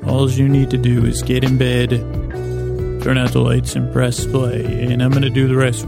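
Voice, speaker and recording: Creaky, rambling man, soothing bedtime host. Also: medium pitch. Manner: meandering, halting, stumbles over words. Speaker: podcast host and bedtime storyteller. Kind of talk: rambling, soothing sleep podcast monologue.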